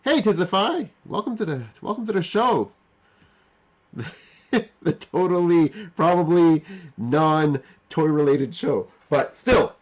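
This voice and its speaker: A man's voice: a little high, husky, highs cut off. A man in his forties hosting a livestream, talking off the cuff and reflectively, often repeating and rephrasing himself with emphasis. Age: 40s. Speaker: livestream host. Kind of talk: unscripted reflective monologue to live chat.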